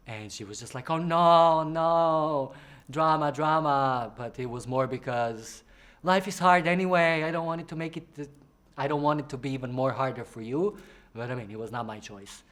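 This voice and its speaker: Chatty drag performer from Croatia. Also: male voice, a bit bright. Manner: chatty, playful, acts out quoted people in high voices. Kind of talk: casual interview answers.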